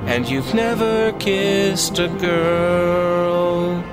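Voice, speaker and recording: A man plays a starship commander in a sci-fi audio drama musical. He is smitten and takes his time with his words, over loud music. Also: high voice, fairly even intonation, very slow. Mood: elated singing. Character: smitten male starship officer, a commanding superior. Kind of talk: fan audio drama acting with musical singing.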